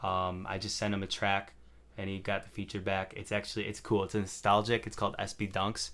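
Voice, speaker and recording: A man's voice: deep voice, completely flat delivery, brisk, a bit bright. A young man, a rapper guest, talking in a loose, rambling way full of fillers. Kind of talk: casual conversation.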